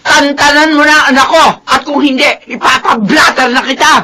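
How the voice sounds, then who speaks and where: A woman's voice: high voice, steady tone, moderate pace, pushed and bright. A woman acting a fierce, suspicious middle-aged mother who scolds sharply in a radio melodrama. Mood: irate.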